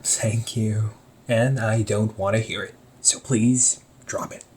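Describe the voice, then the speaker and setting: A man's voice: lowish voice, steady tone, slow, a little mellow. A young man playing an easygoing shop owner talking to his sibling in a one-sided audio roleplay, teasing and laughing often. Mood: scolding.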